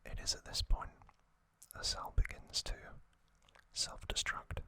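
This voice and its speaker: A man narrates in a crisp, bright whisper for ASMR. He moves very slowly and deliberately, breaking his scripted story into short hushed phrases. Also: no room sound. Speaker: ASMR host.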